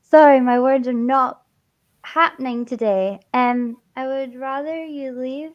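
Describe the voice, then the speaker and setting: Scottish female streamer, high and unhurried, giggly, tripping on words, a little slurred from a sore cheek. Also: lo-fi sound. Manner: giggly, stumbling over words. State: swollen cheek, speech a bit slurred. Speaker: streamer host. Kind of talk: casual stream chat.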